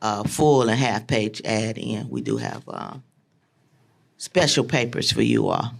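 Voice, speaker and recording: A man with a gravelly, creaky voice gives informal public remarks at a city council meeting. His speech rambles and is full of fillers. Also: lowish voice, huge pitch swings, measured pace.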